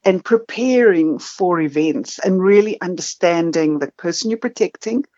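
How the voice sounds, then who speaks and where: A woman's voice: fairly low pitch, lively intonation, normal speed, dark tone, no room sound. Woman, South African accent, middle-aged, frank storyteller, video call, dry dull-toned sound. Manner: frank, self-deprecating storyteller. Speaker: marketing consultant, podcast guest. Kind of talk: podcast interview over video call.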